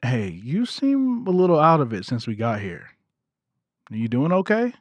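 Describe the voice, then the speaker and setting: An actor in an audio drama playing a kind, considerate brother, tactful and earnest. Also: male voice, medium pitch, wildly swooping pitch, moderate pace, no room sound. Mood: caring, tactful. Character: kind, considerate brother, newly acquainted with his sister.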